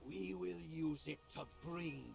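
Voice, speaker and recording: A man's voice: on the high side, steady tone, slow, creaky, over music, heavily acted. A man voicing a defiant, menacing servant of an evil realm in a fantasy game, declaiming threats.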